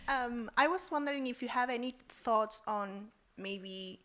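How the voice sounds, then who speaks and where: A woman's voice: fairly high pitch, unhurried, fairly bright tone. A woman in the audience asking a question hesitantly, searching for words.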